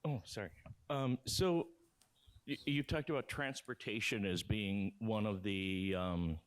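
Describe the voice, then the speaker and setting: A man's voice: fairly low pitch, a little slow, smooth. An older man asking a spontaneous question from the audience in a hesitant, rambling, self-deprecating way. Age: 60s or older. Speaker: audience member, retired elementary teacher.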